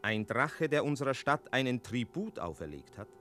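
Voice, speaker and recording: A wry cat tells a dark fairy tale in a radio play. The delivery is theatrical and very animated, with dramatic pauses and faint music underneath. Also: male voice, mid-range voice. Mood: wry, ominous. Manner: dramatic, with pointed pauses and interjections. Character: talking cat narrating a fairy-tale town's misfortune. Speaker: narrator.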